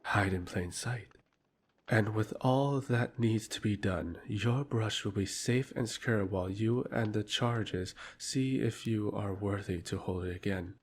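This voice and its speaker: A man's voice: on the low side, measured pace, slight background noise, natural voice. A man acting a smug, condescending lord in a fantasy audio drama, with a haughty, mocking manner.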